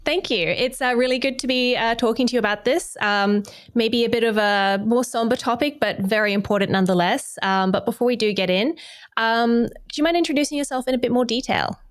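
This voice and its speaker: A woman's voice: a little high, a little fast. A woman from Australia hosting a podcast, reading a scripted welcome and then asking questions in a friendly, slightly hesitant way. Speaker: podcast host. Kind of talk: scripted intro then casual interview.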